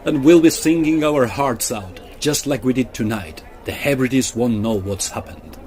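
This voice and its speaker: An actor playing a bold, roguish Victorian opera singer in a comic audio drama, droll and theatrical. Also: male voice, medium pitch, normal speed, darkish tone, slight background noise, natural voice. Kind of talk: period comedy audio drama acting.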